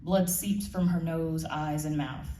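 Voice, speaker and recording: A woman's voice: sits low, fairly even intonation, measured pace, mellow. A woman giving a live scripted narration of her audio drama, heard in a roomy space away from the mic. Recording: echoey room, distant mic, faint noise.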